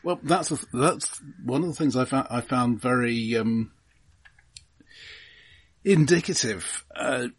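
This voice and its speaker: A man co-hosting a film podcast, discussing films casually in measured, deliberate phrases. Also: medium pitch, dry recording.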